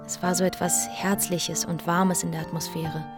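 A woman's voice: lowish voice, flat delivery, normal speed, over music, close to an everyday voice. An actress in a radio drama playing a hospitable, wistful widowed mother who speaks intimately and candidly. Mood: tender.

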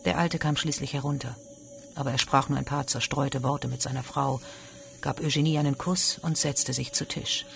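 A man's voice: mid-range voice, fairly even intonation, normal speed, creaky, a bit bright, faint music behind. A man narrating a literary radio drama from a script.

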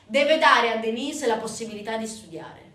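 A woman performing a dramatic theatrical monologue, intense and emphatic, swinging from hushed to loud. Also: on the high side, moderate pace. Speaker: stage actress narrating a monologue. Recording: roomy, distant stage recording with noise.

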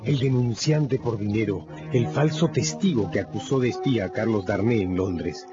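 Low-voiced man narrating a radio drama. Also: moderate pace, lo-fi sound, music in the background.

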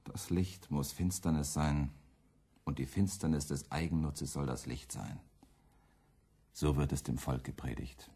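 An actor in a German radio play voicing a fiery radical preacher who champions peasants with biting, accusatory rhetoric and scorn. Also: male voice, very deep voice, fairly even intonation, a little slow, mellow, slightly rough, full character voice. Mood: bitter, sarcastic.

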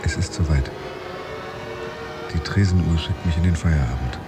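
A man acting an anxious, proud pretend office worker, narrating his thoughts tensely and deliberately in a radio play. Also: extremely low pitch. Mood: guarded, resolute. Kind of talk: interior monologue in a radio play.

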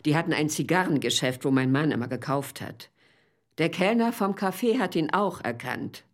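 A woman's voice: rumbling low, darkish tone, exaggerated voice. A woman playing an older witness who plainly and painfully recalls a return visit in a documentary radio play.

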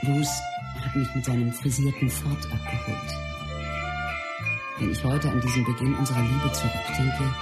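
A reflective woman remembers the man she loved, with loud string music behind her. Her delivery is measured, scripted memoir narration in a radio play. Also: very deep voice, fairly even intonation. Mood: reminiscing. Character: reflective wife remembering her martial artist love.

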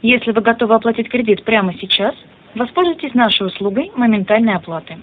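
Highish female phone announcer, noisy narrow line. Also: expressive, fast talker. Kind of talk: scripted automated phone greeting.

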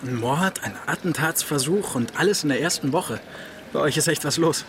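A man's voice: a little high, moderate pace, fairly bright tone, a little hiss or hum. A man playing a breezy, wry police colleague in a German radio crime drama. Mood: amused, teasing.

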